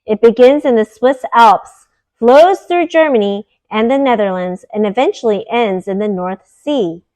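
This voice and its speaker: A woman with a fairly high voice reads a picture book aloud for children. Her delivery is deliberate and teacherly, setting out each word and its meaning clearly for young listeners. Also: lively intonation, a little mellow, poor audio. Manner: deliberate, teacherly, explaining words.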